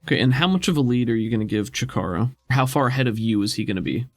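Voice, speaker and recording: A man's voice: medium pitch, animated, fast talker, dry recording. A man running and narrating a tabletop role-playing game as game master.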